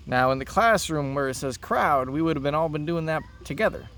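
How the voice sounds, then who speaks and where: A man's voice: on the high side, lively intonation, fairly quick, a bit bright, far from the mic. A man speaking as a teacher, reading a children's play aloud to his students. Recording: noticeable background noise.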